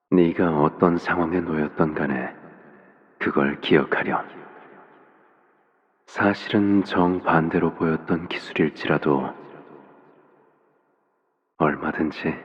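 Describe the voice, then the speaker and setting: Very deep, eerily gentle assassin father. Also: husky, long reverb tail, exaggerated voice. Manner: gentle, unhurried, eerily calm, paternal. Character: soft-spoken, menacing assassin father, calmly doting on his daughter. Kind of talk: video game character voice lines.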